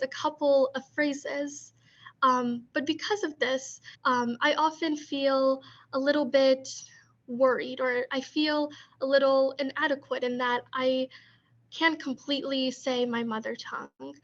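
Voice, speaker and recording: A woman co-curator hosting a poetry gathering on a video call, reading a poem in a measured way and then talking casually with a few ums. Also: high-pitched, fairly even intonation, fairly bright tone, dull audio. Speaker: co-curator and discussion host. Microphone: video call computer mic.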